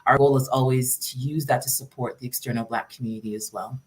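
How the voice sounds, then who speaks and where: A woman's voice: very deep voice, steady tone, quick pace, a little mellow, rough recording. A woman, a guest on a talk show, earnestly and articulately describing her work supporting students.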